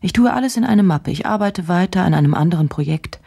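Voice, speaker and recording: An actress playing a driven, troubled woman journalist in a radio play, speaking in a halting, introspective, intense way. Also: expressive, warm tone, full character voice. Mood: restless, weary. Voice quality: low, husky. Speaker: radio drama actor. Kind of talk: German radio play, intimate monologue and interview.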